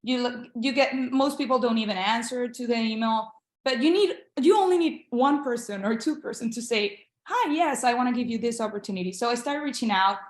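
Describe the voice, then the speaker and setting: A woman's voice: fairly high pitch, brisk, reverberant. A young woman from Venezuela, a Spanish speaker, giving a casual interview as a guest, speaking earnestly and encouragingly over a video call. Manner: earnest, encouraging, with frequent restarts. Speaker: guest, international medical graduate.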